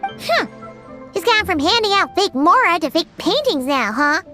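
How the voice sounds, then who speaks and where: Tiny, squeaky, cheeky game sprite. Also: female voice, normal speed, faint music behind, full character voice. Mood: cheeky, unimpressed. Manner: blunt, excitable, squeaky. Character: tiny, cheeky, childlike floating companion sprite. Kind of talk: scripted video game dialogue.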